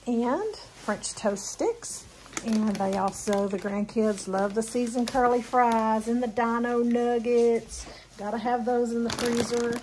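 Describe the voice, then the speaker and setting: A woman's voice: on the high side, slight background noise, rough recording. A woman in her fifties casually chatting through a grocery haul for her home vlog, rambling a little with ums and self-corrections. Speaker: vlogger. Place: home kitchen, indoors.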